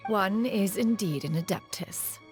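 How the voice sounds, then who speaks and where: A woman's voice: mid-range voice, measured pace, darkish tone, soft background music, natural voice. A woman voicing a proud immortal crane spirit in lady form in an anime game, stiffly formal and haughty. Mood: aloof.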